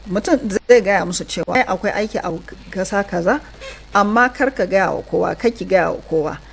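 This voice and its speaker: A woman's voice: medium pitch, fairly quick, vocal fry, a little hiss or hum. A Nigerian woman hosting a bilingual radio talk show, putting questions to a guest and addressing listeners. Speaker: radio host. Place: radio studio.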